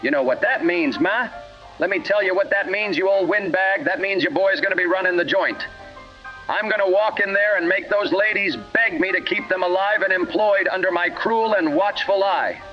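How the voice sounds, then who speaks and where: A man acts out a swaggering, violent mama's-boy thug in a parody radio play. The voice sits high for a man, full of crude boasts and threats. It comes through muffled and narrow, with faint music underneath. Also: normal speed, natural voice. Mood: menacing, boastful. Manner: bragging, menacing, crude. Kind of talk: parody radio drama acting.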